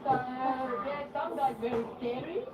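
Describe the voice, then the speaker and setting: Japanese woman, halting English, noisy recording. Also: sits high. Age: middle-aged or older. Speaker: surfer being interviewed. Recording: noisy, muffled, roomy, distant mic.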